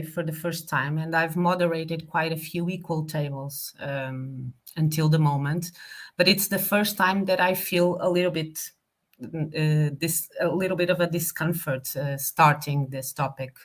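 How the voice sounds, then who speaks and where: A woman from Portugal moderating an online group discussion on a video call, speaking in a hesitant, thoughtful way with many fillers. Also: low-pitched.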